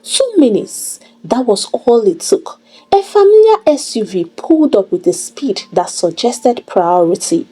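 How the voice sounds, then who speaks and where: A woman narrating a dramatic scripted story in an expressive, deliberate storytelling manner. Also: medium pitch, breathy edge, low-quality recording.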